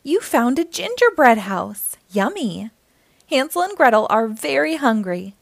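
A woman hosting a children's storytime, reading aloud in an animated, encouraging way and speaking directly to young listeners. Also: a little high, a little slow, vocal fry, no room sound.

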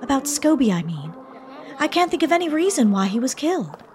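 A woman playing a chic, talkative, anxious young widow in a comic radio mystery, in an expressive theatrical style. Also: high voice, a little fast, a crowd in the background. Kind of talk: radio drama acting.

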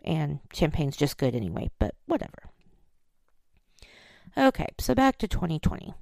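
Hoarse, gravelly-voiced woman podcast host. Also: low-pitched, wildly swooping pitch, moderate pace, darkish tone, no room sound, clean studio sound. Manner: chatty, self-deprecating, rambling. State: scratchy, hoarse voice. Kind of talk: casual solo chat.

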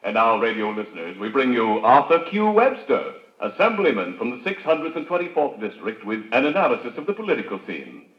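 Man playing an echoey, animated radio announcer. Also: mid-range voice, fairly quick, hardly any pauses, close to an everyday voice. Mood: formal. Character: formal radio announcer introducing programmes. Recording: echoey, dull vintage radio recording.

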